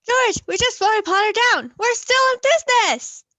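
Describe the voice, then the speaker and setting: A woman plays an urgent, excited messenger in 1940s radio drama style. Her voice is extremely high and the sound is close and dry. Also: normal speed, no echo, heavily acted. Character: excited, urgent small-town board messenger.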